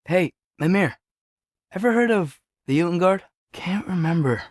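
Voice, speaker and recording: A man's voice: high-pitched, animated, normal speed, no room sound, studio quality. A young man playing a curious, earnest teenage boy in a Norse myth video game, talking in a natural, slightly hesitant way. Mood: casual, thoughtful.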